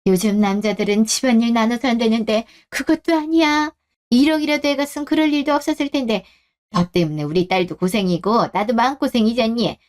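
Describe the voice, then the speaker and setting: A woman plays an older mother-in-law in a radio drama, her voice pitched high and shrill. She is grasping and domineering, and she scolds and accuses in a sharp, pushy way. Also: fairly quick, natural voice. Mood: scolding. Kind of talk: Korean radio drama acting.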